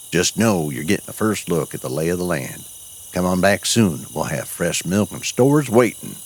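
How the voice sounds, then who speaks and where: A man's voice: fairly low pitch, vocal fry. A man narrating a cozy audio story as host, with a folksy cowboy drawl and country sayings.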